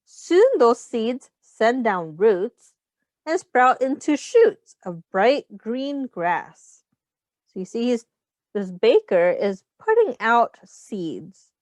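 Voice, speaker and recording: A woman's voice: fairly high pitch, very animated, slow, darkish tone, no echo. A woman teacher reading a picture book aloud to young children, explaining simple words and asking them questions as she goes. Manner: instructive, pointing out rhymes and addressing young children directly.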